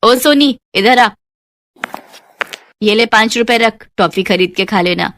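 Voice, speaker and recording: Woman playing a haughty, bossy rich aunt, shrill. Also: slow pace, fairly bright tone, slight background noise. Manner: bossy, dismissive. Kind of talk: children's moral story voice acting.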